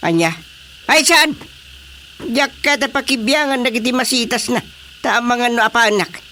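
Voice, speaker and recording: A man's voice: very high-pitched, moderate pace, pushed and bright, slight background noise. An actor playing a blustery, scolding village chairman in a comedy radio drama, emphatic, with an Ilocano Filipino accent. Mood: exasperated, scolding.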